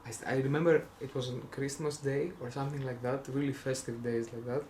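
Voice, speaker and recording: A man's voice: medium pitch, fairly even intonation, brisk, a little mellow, a little hiss or hum. A young man from Greece with a Greek accent, rambling casually in a vlog, full of fillers and self-restarts with wry jokes. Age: young adult. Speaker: vlogger.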